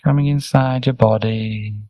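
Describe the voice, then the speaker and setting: A man leading a guided meditation as a teacher, speaking gently and soothingly in short, unhurried instructions with pauses. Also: mid-range voice, fairly even intonation, no room sound, highs cut off. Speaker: meditation teacher, Buddhist monk.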